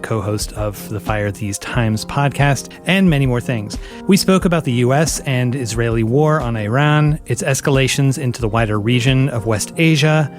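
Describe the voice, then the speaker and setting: A man hosting a weekly radio show, reading a scripted introduction and then asking interview questions in a measured, earnest way. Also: mid-range voice.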